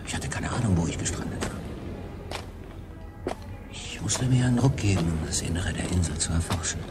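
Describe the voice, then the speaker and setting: An actor playing a weary, sardonic stranded solo sailor, brooding in a radio drama monologue with a dry manner. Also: male voice, deep voice, expressive, a little fast, gravelly, over loud music. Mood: grim, weary. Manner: brooding, dry, talking to himself.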